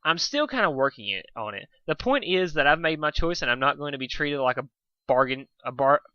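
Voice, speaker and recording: A man's voice: on the high side, lively intonation, fairly quick, sharp tone, no echo, lo-fi sound. A man voicing an earnest, determined young male unicorn pony in a storybook reading, insistent and a little flustered. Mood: uncertain resolve.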